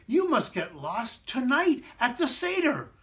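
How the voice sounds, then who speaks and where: A man voicing eager, kindly young children in a storybook, gentle, earnest and pleading. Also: very high voice, huge pitch swings, a little slow, voice pushed up, heavily acted. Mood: earnest, coaxing.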